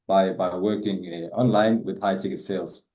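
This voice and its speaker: A man's voice: deep voice, steady tone, moderate pace, warm tone, roomy sound. A man whose first language is Danish, a guest in a casual interview, talking hesitantly with many repeated words and restarts. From Denmark.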